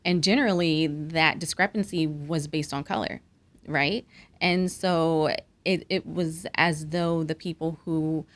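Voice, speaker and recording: Low-voiced earnest woman recalling her training. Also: steady tone, measured pace. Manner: earnest, reflective, many fillers. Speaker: guest doctor. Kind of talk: conversational interview.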